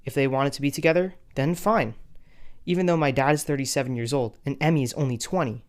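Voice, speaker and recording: A man's voice: medium pitch, fast talker, lightly acted. A man voicing a betrayed young man who tells his family drama wryly, in first-person cartoon story narration. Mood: resigned, scornful.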